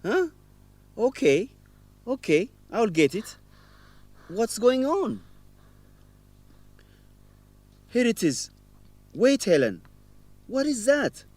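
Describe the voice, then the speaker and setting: A man's voice: extremely high pitch, very animated, takes it slowly, pauses often, a little mellow, no echo, heavily acted. A man acting a troubled young student raised in an orphanage, emotional and confessional, in an educational radio drama. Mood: startled, agitated.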